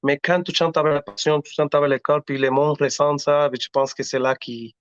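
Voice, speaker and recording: A man's voice: a little high, fairly even intonation, smooth, no room sound. Earnest man. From Latin America. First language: Spanish. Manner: earnest, halting, frequent restarts. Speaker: singer, interview guest. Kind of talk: casual interview talk. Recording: dull lo-fi audio.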